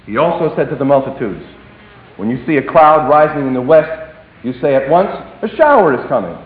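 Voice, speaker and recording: A man preaching a sermon, swinging between emphatic declarations and hushed, dropped phrases. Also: mid-range voice, expressive, brisk. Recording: echoey hall, tinny phone-like sound.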